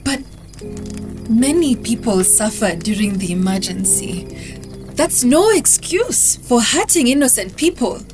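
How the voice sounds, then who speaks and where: A woman on the run who is grave, earnest and defiant. Her voice sits fairly high and she speaks slowly and deliberately, as in a dramatic motion comic, with music clearly behind her. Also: very animated. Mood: urgent, concerned.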